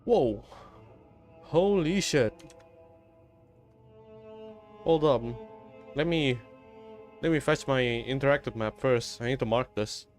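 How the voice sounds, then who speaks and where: A man's voice: medium pitch, unhurried, long pauses. A man streaming a video game, giving casual live commentary in short muttered phrases to himself. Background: game music.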